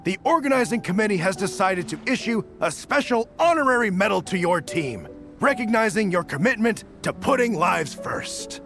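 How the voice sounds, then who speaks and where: A gracious official in a video game, delivering formal announcements in a fairly high, animated voice. Music plays clearly behind him. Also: male voice, normal speed. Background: background music.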